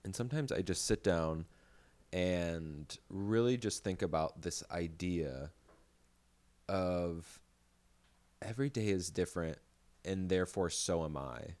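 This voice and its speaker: A man hosting a podcast with a deep, low voice. He talks in an earnest, reflective way, introducing a guest and sharing his thoughts. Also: unhurried, pauses often, darkish tone. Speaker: podcast host. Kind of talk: podcast intro and casual chat.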